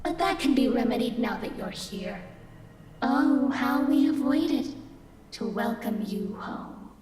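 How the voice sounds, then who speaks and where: Creepy ghost lady from a horror game, slow n high, big echoey hall, menacing. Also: lively intonation, a little mellow, a little hiss or hum. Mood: ominous welcome. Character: eerie ghostly woman in a haunted house, menacing, beckoning. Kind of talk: horror visual novel voice acting. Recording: long echoing hall reverb.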